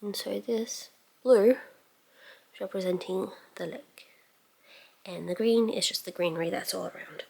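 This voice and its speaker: A woman's voice: mid-range voice, measured pace, creaky, pauses often, warm tone, slightly rough. A woman giving a casual makeup tutorial as a beauty vlogger, musing aloud as she works and letting sentences trail off.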